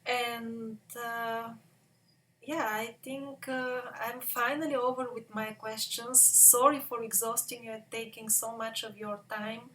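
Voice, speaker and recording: A woman's voice: on the high side, level tone, measured pace, sharp tone. A woman interviewer asking questions politely and informally, with a hesitant manner. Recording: distant mic, faint background noise.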